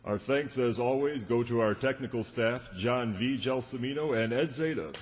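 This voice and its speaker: Male stage announcer, scripted and genial, vintage radio style, reverberant hall with clear background noise. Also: mid-range voice, normal speed. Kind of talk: old-time radio announcing to a live audience.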